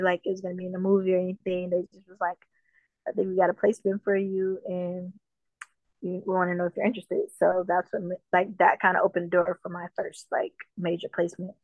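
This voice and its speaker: A woman from Jamaica talks casually as an interview guest, relaxed and full of fillers. Her voice sits low and stays fairly flat. The recording is dull and dry, with little room sound. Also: no room sound. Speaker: musician guest.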